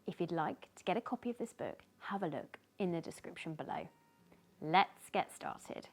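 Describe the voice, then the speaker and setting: A woman reading a children's story aloud as host, with animated, dramatic emphasis. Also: on the low side, moderate pace.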